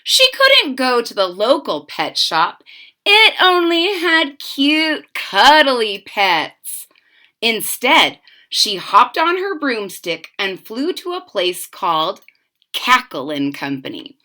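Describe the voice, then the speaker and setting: Animated, bright-voiced woman reading a storybook. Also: a little high. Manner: dramatic emphasis, drawn-out key words. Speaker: storybook reader. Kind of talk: expressive children's read-aloud with explanatory asides.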